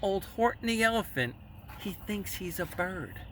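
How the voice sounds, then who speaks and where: A man's voice: sits high, animated, normal speed, distant mic, a little hiss or hum, voice pushed up. A man voicing mocking, gleeful animals in a storybook, calling out in taunts. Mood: gleeful, taunting. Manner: taunting, shouted. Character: mocking, gleeful animal friends jeering at an elephant.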